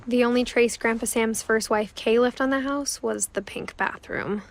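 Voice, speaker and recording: A woman's voice: a little high, steady tone, moderate pace, slight background noise, close to an everyday voice. A woman voicing a wistful young narrator. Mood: reflective. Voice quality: soft, reflective, often drops to a whisper. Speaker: game narrator. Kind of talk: scripted narration. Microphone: studio voice-over.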